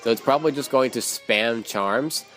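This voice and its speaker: A young man casually commenting on his own gameplay, rambling with self-mocking asides, his voice growing tired and hoarse from a long day of recording. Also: medium pitch, moderate pace, fairly bright tone. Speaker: let's play commentator. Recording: faint game music behind.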